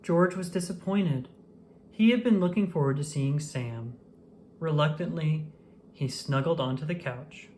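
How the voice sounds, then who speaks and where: A person reading a children's storybook aloud in an expressive, animated way with dramatic emphasis. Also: medium pitch, a little slow, slight background noise. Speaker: children's book reader.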